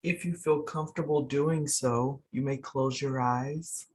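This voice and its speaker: Husky voice doing a guided meditation, really slow, soothing, lots of pauses. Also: medium pitch, steady tone. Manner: soothing, unhurried, pausing between short phrases.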